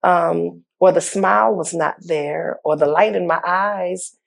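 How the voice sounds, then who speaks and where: Earnest woman with a gravelly edge. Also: fairly low pitch, fairly even intonation, measured pace. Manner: earnest, repeats words as she speaks. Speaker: mayor, guest. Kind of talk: candid interview talk.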